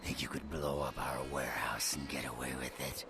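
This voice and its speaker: A man's voice: extremely low pitch, fairly even intonation, fairly quick, noisy background. A man voicing a sadistic vampire gang leader in a video game, taunting and threatening in a theatrical way. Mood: gloating menace.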